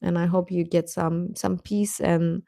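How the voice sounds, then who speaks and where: Slow-paced woman livestreamer, gentle and encouraging. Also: fairly low pitch, little pitch movement, gravelly, no room sound, clean studio sound. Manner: gentle, encouraging, affectionate toward listeners. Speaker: livestream host. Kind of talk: casual livestream chat replying to viewers.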